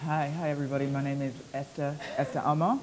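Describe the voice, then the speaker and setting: A British woman speaks on a panel in a brisk, energetic way, listing one thing after another. A loud crowd murmurs behind her. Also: deep voice, in a large hall, poor audio. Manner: brisk, energetic, lists achievements. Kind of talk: panel self-introduction.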